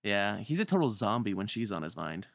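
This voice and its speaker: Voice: deep voice, big pitch swings, fairly quick, vocal fry, no room sound, studio quality, full character voice. A performer voicing a laid-back, joking teenage boy friend with a casual, teasing delivery in a game story.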